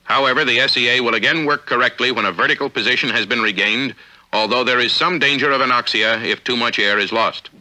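An American man reading formal, measured, authoritative narration for a 1950s instructional film, on an old film soundtrack. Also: medium pitch, bright, forceful tone, low-quality recording. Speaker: narrator of a military training film.

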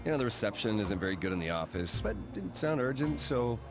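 An actor playing a nervous, evasive man who stammers and hesitates in an animated game cutscene. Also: on the low side, fast, natural voice. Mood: panicked, evasive. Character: nervous, evasive man cornered by his girlfriend. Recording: loud music under the dialogue.

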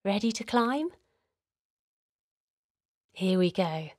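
Slow, soothing woman guiding children's meditation. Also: mid-range voice, animated, no room sound, studio quality. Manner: soothing, gentle, encouraging. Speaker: host of children's guided relaxation. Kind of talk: guided meditation narration for children.

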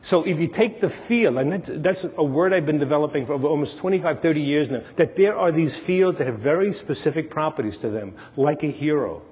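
A man's voice: on the high side, brisk, hardly any pauses, darkish tone, husky. A man, an analyst giving an emphatic, storytelling talk at a podium on a lapel mic. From Brooklyn, New York. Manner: storytelling, emphatic, gestures with his hands. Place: large dark stage, lecture hall. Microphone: clip-on lapel mic. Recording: lo-fi recording with long hall reverb.

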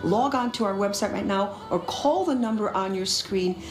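A woman's voice: medium pitch, moderate pace, echoey room, music in the background. A woman hosting a TV fundraising break, interviewing singers outdoors with an emphatic, gushing manner. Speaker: pledge drive host. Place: outdoors on castle grounds by a lake.

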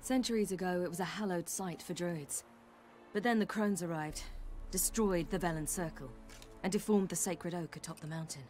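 A woman's voice: on the low side, moderate pace, a little mellow, natural voice. A woman playing a determined young warrior in a fantasy game, with a British accent and earnest, formal phrasing. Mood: measured, explaining. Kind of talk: cinematic fantasy game acting. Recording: music behind the voice. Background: game music.

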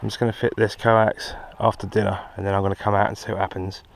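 A man's voice: fairly low pitch, quick pace, creaky. A British man chatting casually and ramblingly to viewers as a hobbyist host, muttering to himself outdoors. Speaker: amateur radio hobbyist and video host. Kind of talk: casual hobby vlog chat. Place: outdoors in a garden. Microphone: handheld action camera. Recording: action camera outdoors, steady background noise.

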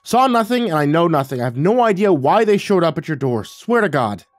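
Man acting an exasperated, awkward son, very animated. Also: medium pitch, a little fast, no echo, lightly acted. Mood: panicked. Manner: defensive, stammering when pressed. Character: exasperated awkward adult son, evasive and sarcastic.

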